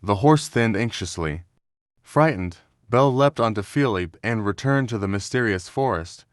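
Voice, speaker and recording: Low-voiced man narrating a storybook. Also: expressive, moderate pace, no echo, clean studio sound. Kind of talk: scripted narration.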